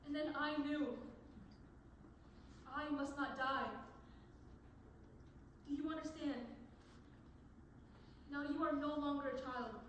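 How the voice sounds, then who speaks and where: A woman's voice: high voice, fairly even intonation, takes it slowly, long pauses. A young woman playing a protective, grieving caretaker of children in an amateur stage play, earnest and emphatic, recorded from a distance. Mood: grieving, resolute. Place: stage in a large room. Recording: echoing distant stage recording, faint noise.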